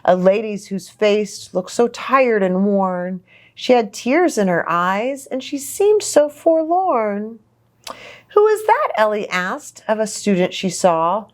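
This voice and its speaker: Woman, children's storybook read-aloud, lively rise and fall, unhurried pace, sympathetic asides. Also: mid-range voice. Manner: expressive, simple sympathetic comments to young listeners. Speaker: pastor reading a storybook to children.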